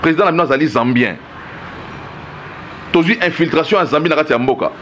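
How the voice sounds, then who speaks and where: A man's voice: a little high, fast talker, fairly bright tone, a little hiss or hum. A Congolese man giving political opinions in an interview, arguing with rhetorical questions and frequent check-ins with the listener. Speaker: political commentary guest.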